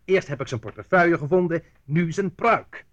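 An actor playing a brisk, sardonic young nephew in a radio play, wry and quick to take charge. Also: male voice, on the high side, lively intonation, a bit bright, highs cut off.

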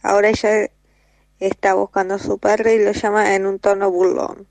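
A woman's voice: medium pitch, level tone, normal speed, vocal fry, darkish tone, slight background noise. A teenage girl narrating a scripted horror story as a student reader.

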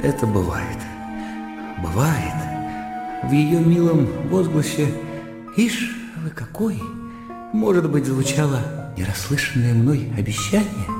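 An actor playing a lovesick, self-tormenting educated gentleman, speaking his thoughts in a halting, intense way in a radio play. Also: fairly high pitch, sing-song, vocal fry, heavily acted. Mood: feverish hope. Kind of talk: radio play inner monologue. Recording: echoey long reverb, music behind.

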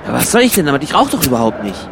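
A man's voice: mid-range voice, very animated, rapid-fire, gravelly, fairly bright tone, slight background noise. A man in improvised radio comedy chat, talking in a dry, sceptical way.

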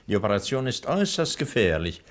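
A vain surgeon who leads a gang in a vintage radio thriller. He savours his words with haughty menace, and his pitch rises and falls widely. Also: very animated, fairly quick. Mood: scheming, gloating. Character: sinister, vain male surgeon and gang boss, father. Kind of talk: 1960s German radio crime drama acting.

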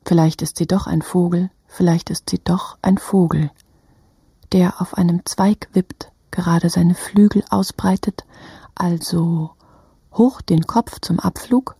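A woman narrating a literary radio drama in a husky, breathy voice, with halting, fragmented phrasing. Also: fairly low pitch, fairly even intonation, mellow, dry recording, clean studio sound.

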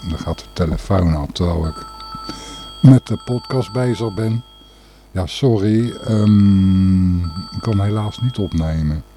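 A man's voice: low voice, warm tone. A man hosting a podcast in rambling, casual solo talk, hesitant and a little distracted. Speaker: podcast host.

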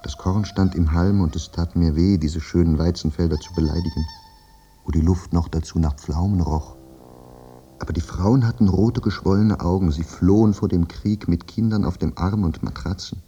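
An actor playing a maimed, anguished soldier, speaking a brooding, plaintive and macabrely frank monologue in a radio drama. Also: male voice, low voice, moderate pace, dark tone, slight background noise. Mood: morbidly fascinated, then wistful, sorrowful. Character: maimed, anguished male war soldier confessing his fate. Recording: muffled radio drama recording, music behind.

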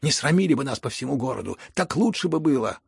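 A man's voice: medium pitch, very animated, brisk, vocal fry, slightly rough, dry recording, clean studio sound, heavily acted. A man voicing a spiteful, exasperated grown son who angrily scolds his father in an audiobook, with biting delivery. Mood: angry, spiteful. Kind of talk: dramatized audiobook reading.

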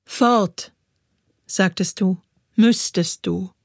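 A woman's voice: medium pitch, very slow, dry recording, clean studio sound. A woman giving a literary recitation of poetic prose, as a spoken-word performer. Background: music.

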